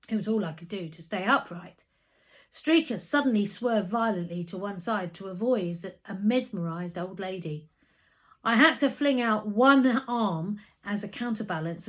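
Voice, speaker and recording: Woman, middle-pitched voice, expressive children's audiobook narration, storyteller. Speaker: storyteller reading aloud.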